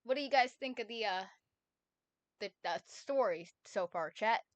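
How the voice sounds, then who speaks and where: A woman's voice: on the high side, animated, measured pace, no room sound. A woman co-reading a fanfic on a live stream, chatting casually with swearing and restarts, on a close desk mic.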